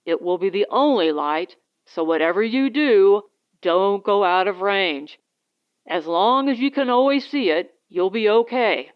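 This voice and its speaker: A woman's voice: medium pitch, measured pace, clear, resonant tone, highs cut off, close to an everyday voice. A woman voicing a protective husband who captains a small spaceship, giving blunt instructions in an audiobook story. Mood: instructing.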